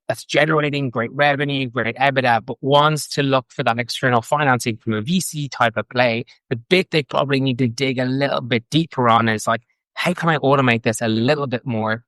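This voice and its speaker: Quick, eager Irish man on a dry mic. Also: medium pitch, a bit bright, dry recording. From Ireland. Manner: enthusiastic, fast, repeats words and restarts. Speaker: guest, fintech co-founder. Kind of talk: casual interview talk. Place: London.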